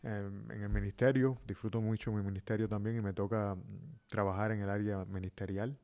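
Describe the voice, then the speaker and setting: A man's voice: lowish voice, level tone, brisk, darkish tone. A middle-aged man with a Cuban accent, a pastor answering conversationally as an interview guest in emphatic, short phrases. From Cuba.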